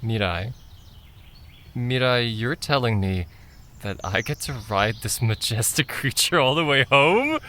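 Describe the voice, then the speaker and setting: A woman playing an unsure but excitable young brother in an audio drama. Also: extremely low pitch, unhurried, slight background noise, full character voice. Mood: amazed. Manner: hesitant, earnest.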